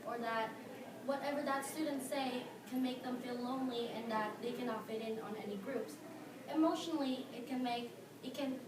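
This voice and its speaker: A teenage girl with a Filipino accent gives an earnest, prepared speech, pausing on fillers and leaning hard on key words. Her voice sits high. She sounds distant in an echoey room, with clear background noise. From Philippines. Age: teens. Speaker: high school student speaker.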